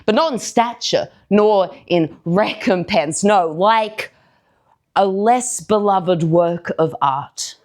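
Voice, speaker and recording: A woman playing a brooding, strong-willed young male villain in a deliberate, declamatory verse monologue on stage. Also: mid-range voice, darkish tone, close to an everyday voice. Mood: bitter, brooding. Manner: declamatory, deliberate, weighty pauses. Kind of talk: theatrical Shakespearean verse monologue.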